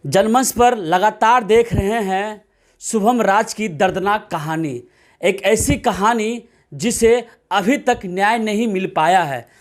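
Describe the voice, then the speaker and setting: A man's voice: sits high, slow. A man reporting from the field, speaking to viewers in an emphatic, dramatic way full of rhetorical questions. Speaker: news reporter. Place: at a family's home.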